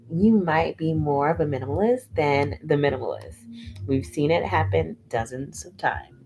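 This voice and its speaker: A woman reading a nonfiction book aloud in a measured, deliberate way. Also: sits low, warm tone, low-quality recording. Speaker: audiobook reader. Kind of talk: unhurried audiobook reading.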